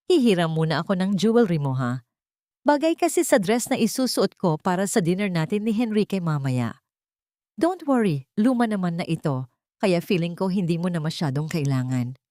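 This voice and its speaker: A woman's voice: medium pitch, darkish tone, no room sound, clean studio sound, natural voice. A woman voicing a haughty, condescending mistress in an audio story, breezily mixing English into Tagalog.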